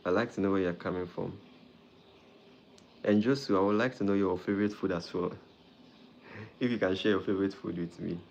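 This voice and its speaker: A man from Ghana, hosting a live stream as a doctor and warmly greeting viewers by name. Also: low voice, fairly even intonation, normal speed, vocal fry, long pauses, lo-fi sound, a little hiss or hum. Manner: friendly, greets viewers by name, repeats phrases.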